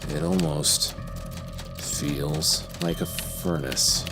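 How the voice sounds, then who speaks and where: An actor playing a brooding, grandiose serial strangler, speaking in deliberate theatrical monologue for an audio drama. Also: male voice, rumbling low, fairly even intonation, gravelly, over music, exaggerated voice. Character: menacing, brooding male serial strangler, self-absorbed killer.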